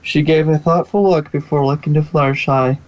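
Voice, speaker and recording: A man's voice: a little high, steady tone, moderate pace. Muffled audio, guy reading out a fanfic as narrator, informal, trips over words now and then. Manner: informal, stumbles and self-corrects. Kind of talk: scripted fan fiction narration. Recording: dull lo-fi recording.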